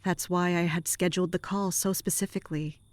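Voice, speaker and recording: An actress playing the first-person storyteller of a fantasy audio drama, in scripted narration. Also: female voice, on the low side, steady tone, brisk, no echo, close to an everyday voice. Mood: measured, intent.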